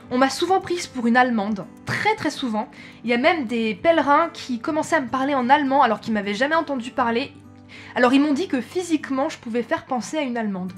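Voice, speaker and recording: A young woman from France chatting casually to camera as a travel vlogger, with frequent hesitations and self-corrections. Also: high-pitched.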